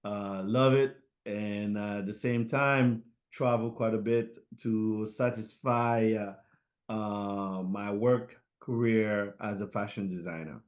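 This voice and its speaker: A middle-aged man from Haiti talks slowly and unhurriedly in a relaxed interview. His speech halts often, full of fillers and restarts as he feels his way through answers. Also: lowish voice. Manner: halting, many fillers and restarts. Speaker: guest, fashion designer.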